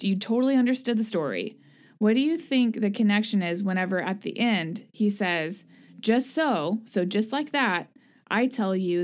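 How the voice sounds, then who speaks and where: Woman host gently asking questions. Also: mid-range voice. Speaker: podcast host. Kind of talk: guided discussion.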